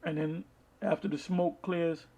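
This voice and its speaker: A man preaching from the Bible, reading verses aloud and explaining them in a halting, deliberate manner, with a husky, gravelly voice. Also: on the high side, steady tone, dark tone. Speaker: street-style Bible preacher. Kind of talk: Bible teaching with scripture read aloud and commentary.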